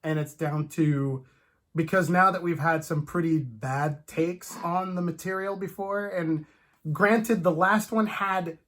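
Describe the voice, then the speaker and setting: Guy with a highish voice, animated rambly fan talk, casual host chatting movies. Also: slow. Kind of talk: casual chat about films.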